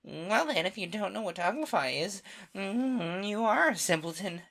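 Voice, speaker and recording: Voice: mid-range voice, lively intonation, moderate pace, vocal fry, fairly bright tone, voice pushed up. A reader voicing an impatient, scolding male Gryphon in a storybook reading, blustering at a child.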